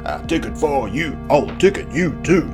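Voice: medium pitch, expressive, moderate pace, music in the background, pitched above the performer's own voice, close to an everyday voice. A performer voicing a grumpy, taunting male monster who hands out tickets in a children's storybook. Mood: boastful, taunting.

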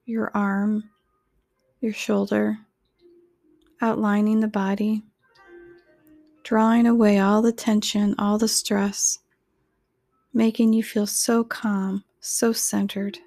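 A woman reading a scripted guided meditation in a soothing, measured, instructional way, with a soft voice that turns breathy at phrase ends. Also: medium pitch, level tone, no echo, studio quality, faint music behind.

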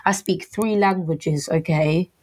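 A woman's voice: on the low side, slow pace, darkish tone, breathy edge. Earnest young woman raised in Germany. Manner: earnest storytelling with many fillers. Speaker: guest. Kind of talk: casual podcast chat.

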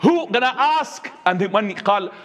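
A man, preaching a sermon as an imam, swinging between high and low. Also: lively intonation, a little fast, a bit bright. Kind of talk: Friday sermon. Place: mosque. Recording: echoey hall.

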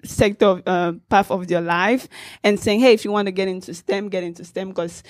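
A woman's voice: mid-range voice, fairly even intonation, a little fast, no echo, clean studio sound. A woman from Cameroon speaking as a panelist in a discussion, earnest and encouraging, laughing easily.

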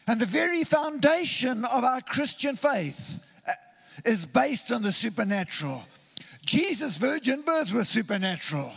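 High-pitched gravelly male preacher. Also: sing-song, fairly bright tone. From Zimbabwe. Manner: earnest, deliberate, emphatic with repetition. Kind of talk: sermon.

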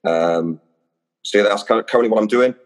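Welsh man, Welsh accent, very deep voice, relaxed, friendly, long echo. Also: brisk. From Wales. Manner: relaxed, friendly, uses fillers. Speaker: guest, youth soccer coach. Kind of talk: casual podcast interview. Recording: long reverb.

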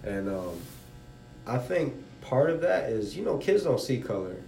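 Mellow low man, roomy sound. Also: very animated, unhurried, creaky. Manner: rambling, fillers like you know. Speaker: conversation participant. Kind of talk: casual conversation. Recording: roomy with faint rumble.

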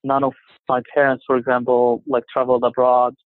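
Egyptian guy on a phone line, halting, lots of ums, dry and tinny. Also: medium pitch, completely flat delivery, dry recording. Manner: halting, many fillers and restarts. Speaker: interview guest. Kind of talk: casual conversation.

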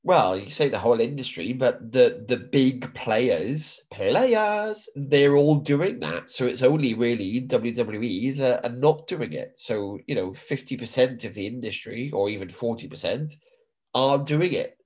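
A middle-aged British man co-hosts a podcast while stuffed up with hay fever. He chats loosely and swears now and then, with a voice of ordinary pitch. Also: expressive, unhurried, a little mellow, slightly rough. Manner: chatty, swears casually, says "bro" and "mate". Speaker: podcast co-host. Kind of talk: casual chat.

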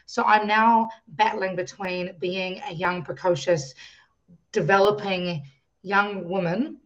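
Woman, New Zealand accent, mid pitch, articulate explainer, conversational interview guest, muffled lo-fi recording. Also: steady tone, slow. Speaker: podcast guest, musician and youth coach. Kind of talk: conversational personal storytelling in an interview.

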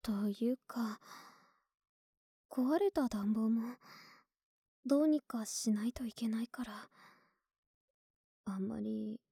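A woman playing a clingy, sweet young neighbor in an ASMR voice drama, soft and breathy, often whispering, intimate and coaxing, close to the mic. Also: fairly high pitch, slow pace, pauses often, no room sound, exaggerated voice. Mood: apologetic, subdued. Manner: intimate, affectionate, hesitant and coaxing. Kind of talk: Japanese ASMR situation voice drama. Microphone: close, dry ASMR microphone.

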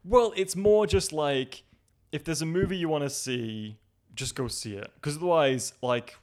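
A man's voice: on the high side, moderate pace, a little mellow. A man in his twenties in a casual chat, talking loosely and laughing often. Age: 20s.

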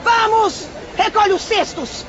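Shrill, cruel fishwife mother. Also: slow pace. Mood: furious, accusing. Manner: shrill scolding, shouted insults and commands. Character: cruel, greedy, abusive fishwife mother berating her daughter. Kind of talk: melodramatic radio drama acting. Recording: dull radio drama with crowd and noise.

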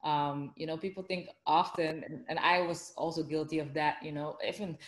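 A woman's voice: low-pitched, steady tone, moderate pace, roomy sound. A woman with a Dutch accent, chatting casually in an interview with lots of fillers and restarts. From Netherlands.

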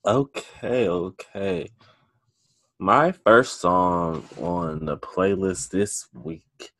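Laid-back young man, low and dry. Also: extremely slow, fairly bright tone, no echo. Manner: laid-back, slangy, drawling. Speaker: podcast co-host. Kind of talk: casual chat.

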